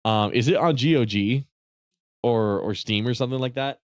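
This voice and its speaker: Man, streamer, casual rambling chat, swears, fillers, voice turning raspy, medium pitch. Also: moderate pace, no room sound, clean studio sound. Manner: rambling, profane, many fillers and restarts. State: voice getting raspy.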